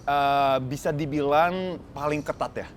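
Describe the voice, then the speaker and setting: A man's voice: a little high, fairly even intonation, unhurried, slight background noise. A man from Japan, a guest speaking casually and with enthusiasm in an interview, laughing often. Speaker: guest, actor and musician.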